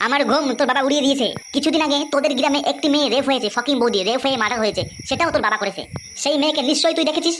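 Lady doing a nasty vengeful kidnapper, crude, high voice, rattles it out fast, slight noise. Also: close to an everyday voice. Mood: accusing. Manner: menacing, accusing, crude. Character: vengeful, foul-mouthed kidnapper threatening a captive. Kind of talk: comic cartoon dub.

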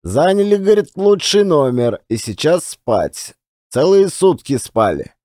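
A man's voice: on the high side, big pitch swings, warm tone, no room sound, clean studio sound. A man voicing an old former billiard marker who tells his memories in folksy, colloquial turns of phrase for an audiobook drama. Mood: reminiscing. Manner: colloquial, unhurried storytelling with rustic turns of phrase.

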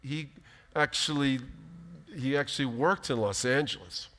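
Animated man preaching in a reverberant hall. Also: mid-range voice, a little slow. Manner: animated, emphatic preaching with big gestures. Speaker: pastor. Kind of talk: sermon. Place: large church stage, indoors.

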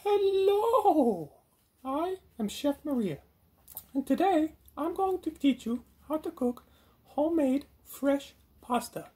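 Man putting on a high-pitched exasperated lady chef puppet. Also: sing-song, slow pace, a little mellow, exaggerated voice. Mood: cheerful, presenting. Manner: indignant, complaining, theatrical. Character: exasperated, bossy female chef puppet, comic cooking host. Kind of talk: comic puppet cooking show. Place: home garage.

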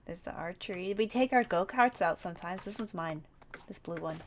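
A woman's voice: on the low side, brisk, slight background noise, close to an everyday voice. A woman playing a playful, carefree young survivor in a Minecraft roleplay, talking in a casual, bubbly, impulsive way.

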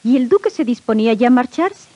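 A woman's voice: fairly high pitch, animated, fast, mellow, dull audio. A woman reading a scripted children's storybook as narrator, on a vintage record with music.

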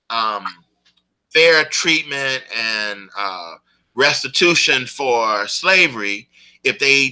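A man's voice: medium pitch, sharp tone, rough recording. A man giving spoken commentary, explaining in long, built-up points.

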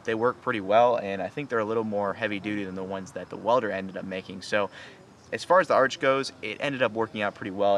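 A man's voice: lowish voice, fairly bright tone. Casual American man, faint outdoor noise. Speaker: sailing vlog host. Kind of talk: casual explaining to camera. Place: outdoors on a sailboat in a boatyard. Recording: outdoor recording with ambient noise.

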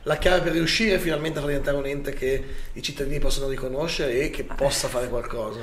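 A man's voice: medium pitch, fairly even intonation, fairly quick, fairly bright tone, reverberant. A middle-aged man hosting a TV studio interview, asking direct, probing questions. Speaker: TV host, interviewer.

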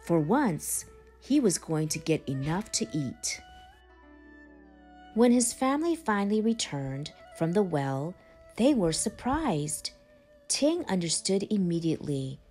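A woman reading a children's picture book aloud in a measured, animated storytelling manner. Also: lowish voice. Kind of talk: expressive storybook read-aloud. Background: background music.

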